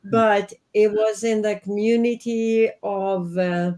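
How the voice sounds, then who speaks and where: A woman's voice: mid-range voice, little pitch movement, takes it slowly, warm tone. A woman in her fifties from Sweden with a Swedish accent, speaking earnestly as a guest over a video call from her home office. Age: 50s. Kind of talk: reflective interview talk.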